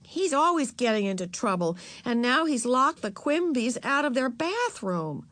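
A woman's voice: on the high side, big pitch swings, moderate pace, a bit bright, dry recording, voice pushed up. A woman voicing an impatient, exasperated older sister, a schoolgirl in a storybook. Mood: thinking, annoyed.

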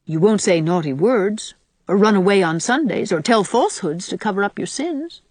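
A woman voicing a patient, gentle young woman who softly soothes and admonishes a child in a storybook. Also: medium pitch, animated, moderate pace, no echo, natural voice. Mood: tender admonishing. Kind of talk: audiobook character voice.

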